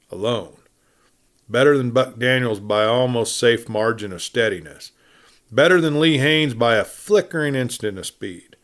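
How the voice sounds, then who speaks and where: A man's voice: mid-range voice, fairly bright tone. Man narrating a western tale dramatically. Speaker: storyteller, audiobook reader.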